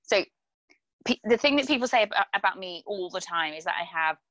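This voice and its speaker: A woman from England hosting a casual interview, friendly and teasing, quick to agree with her guest. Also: mid-range voice, lively intonation, fairly bright tone, no echo. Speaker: podcast host.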